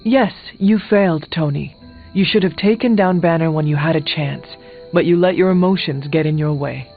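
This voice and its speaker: An actor playing a solemn young warrior hero in a video game, speaking in a measured, formal and earnest way. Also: male voice, a little high, creaky. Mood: stern, blunt. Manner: measured, formal, avoids contractions. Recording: thin phone-like game audio, music behind.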